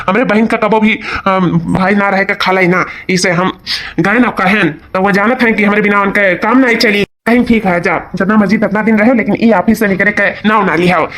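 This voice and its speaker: A performer voicing a caring young brother back from working abroad in an Awadhi cartoon comedy, calmly soothing and explaining. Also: mid-range voice, natural voice.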